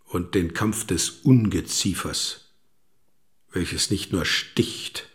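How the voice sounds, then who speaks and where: A man's voice: sits low, slow pace. A man acting a domineering, scornful father in a literary radio drama, accusing and contemptuous with emphatic delivery. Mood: cold contempt. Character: domineering, scornful tyrant father berating his son.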